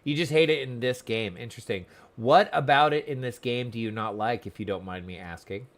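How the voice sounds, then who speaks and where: A man's voice: mid-range voice, fairly quick. A man chatting casually on a livestream, commenting on the game he plays and talking to his viewers. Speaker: livestreamer playing an online game.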